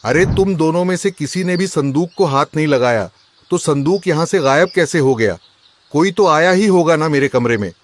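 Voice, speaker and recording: A man playing an agitated, suspicious rich merchant husband in a cartoon, loud and complaining. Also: a little high, lively intonation, moderate pace, slight background noise, natural voice. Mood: distressed. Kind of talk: Hindi cartoon story voice acting.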